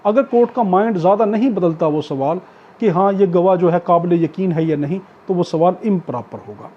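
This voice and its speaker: A man's voice: high voice, fairly quick. A man with a Pakistani accent giving an explanatory law lecture, didactic, repeating and rephrasing his points. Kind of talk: explanatory law lecture, reading out provisions then paraphrasing. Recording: dull, muffled lo-fi recording.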